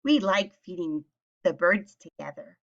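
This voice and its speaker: A woman teacher reading a picture book aloud and speaking to young children with simple questions and clear explanations. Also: on the low side, lively intonation, a little slow, lo-fi sound.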